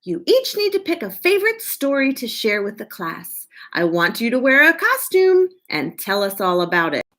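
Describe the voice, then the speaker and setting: A woman's voice: a little high, big pitch swings, moderate pace, fairly bright tone. A woman voicing an enthusiastic, encouraging school librarian who loves books, in a storybook reading. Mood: excited. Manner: encouraging, eager.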